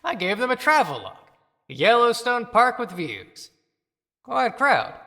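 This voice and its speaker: A man doing an exasperated, high-voiced preacher. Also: big pitch swings, a little slow, sharp tone, heavily acted. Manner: clipped, complaining lists. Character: exasperated, failing small-town preacher. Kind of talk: theatrical audio play monologue. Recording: long reverberant echo.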